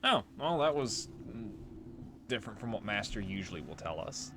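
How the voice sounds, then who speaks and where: A man's voice: lowish voice, sing-song, normal speed, background noise. A man giving casual let's play commentary on a video game, wry and freely swearing. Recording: voice over game music. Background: game music.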